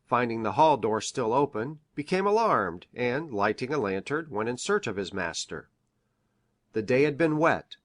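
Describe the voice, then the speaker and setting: Country doctor, male reader: earnest, formal, mid-pitched, measured storybook delivery. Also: a bit bright, close to an everyday voice. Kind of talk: measured dramatic audiobook reading of formal prose.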